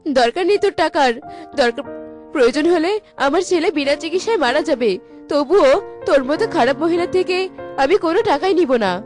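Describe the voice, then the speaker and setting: Actress doing a distraught village mom, high wailing voice, cartoon drama, music under it. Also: female voice, expressive, a little fast. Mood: bitter. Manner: wailing laments. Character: distraught village mother, bitter and anguished. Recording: music behind the voice.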